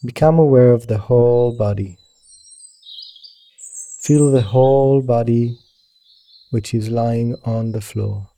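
Slow, very dark-voiced man guiding meditation. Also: mid-range voice. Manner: gentle, soothing, unhurried. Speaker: meditation teacher guiding yoga nidra.

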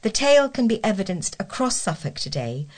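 A woman's voice: medium pitch, big pitch swings, brisk. A woman with a British accent giving a scripted reading of local articles as a volunteer reader.

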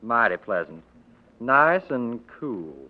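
Slow, easygoing Southern gentleman. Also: medium pitch, big pitch swings. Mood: guardedly affable. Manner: laconic, folksy. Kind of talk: 1940s radio drama acting. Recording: tinny 1940s radio broadcast.

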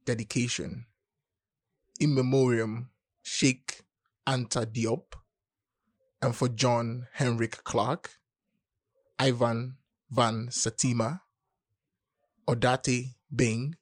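A man reading poetry aloud deliberately, pausing phrase by phrase. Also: mid-range voice, flat delivery, no room sound, clean studio sound. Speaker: poetry reader.

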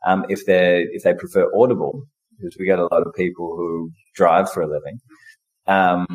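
Low-voiced man, relaxed. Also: moderate pace, slightly rough, low-quality recording. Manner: relaxed, hesitant, lots of fillers. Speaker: podcast guest, book club founder. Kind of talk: casual conversation.

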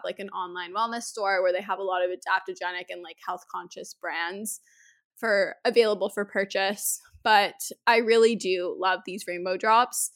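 A woman from Canada hosting a podcast in casual chat, hoarse from a head cold, chatty and full of "like". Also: medium pitch, fairly bright tone. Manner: chatty, uses "like" often. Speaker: podcast host.

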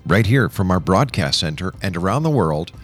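Guy hosting a radio talk show, really deep voice, announcer style, bit of music behind. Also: big pitch swings, moderate pace, fairly bright tone, slightly rough.

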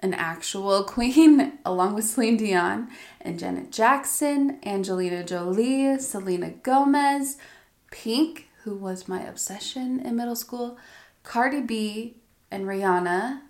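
A woman talking directly to her viewers in a gentle, soft voice that often drops near a whisper, sounding a little stuffy from allergies. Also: fairly high pitch, a little slow, roomy sound. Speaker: astrology vlogger. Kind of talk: reflective spoken monologue to viewers.

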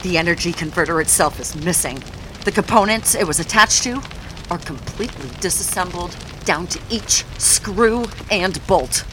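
A woman's voice: fairly low pitch, animated, normal speed, background noise, natural voice. An actress playing a female TV news reporter delivering a live field report. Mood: alarmed. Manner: crisp, urgent reporting.

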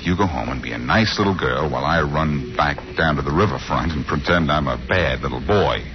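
An actor playing a cool, wisecracking detective with a glib, teasing manner in 1940s radio drama style. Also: male voice, a bass voice, a little fast. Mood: wry, playful. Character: wisecracking, cool amateur detective, suave and flippant. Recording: muffled old-time radio recording.

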